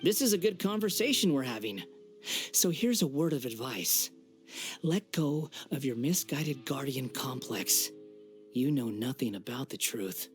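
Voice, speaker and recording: A man's voice: medium pitch, expressive, unhurried, lightly acted. A man voices an arrogant young man with godlike ambitions in an anime game. He speaks with a theatrical, condescending air, savoring every taunt. Music plays behind his words. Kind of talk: English-dubbed anime game voice acting. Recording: background music under the voice.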